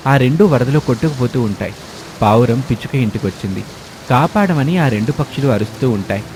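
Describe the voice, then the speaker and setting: A man's voice: mid-range voice, animated, a little fast, background noise. A man narrating a scripted children's story as the storyteller.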